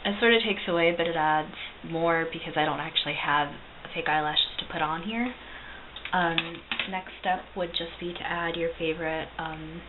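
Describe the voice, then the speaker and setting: Chatty young woman on a tinny, hissy recording. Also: lowish voice. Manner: chatty, self-deprecating, many ums. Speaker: cosplay makeup vlogger. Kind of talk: casual makeup tutorial. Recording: tinny lo-fi recording with loud hiss.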